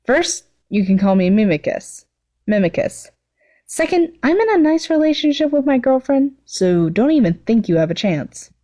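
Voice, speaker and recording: Woman doing an irritable, higher-pitched supervillainess. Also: sing-song, normal speed, warm tone. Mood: haughty. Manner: exasperated, dismissive. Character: irritable, cocky, sarcastic young female supervillain.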